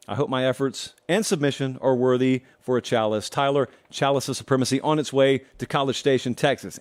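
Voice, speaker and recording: Guy doing football picks on his show, chatty and jokey, voice bounces all over. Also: mid-range voice. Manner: animated, conversational, jokey asides to viewers. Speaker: host of a college football show. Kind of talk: sports betting picks and chat to camera. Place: studio.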